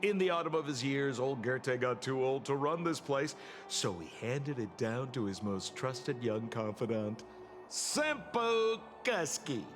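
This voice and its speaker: A man's voice: medium pitch, expressive, unhurried, natural voice. A man voicing a sly, smug, theatrical con man who runs a hotel in a video game, drawling his words. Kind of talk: acted video game dialogue. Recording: reverberant, with music behind.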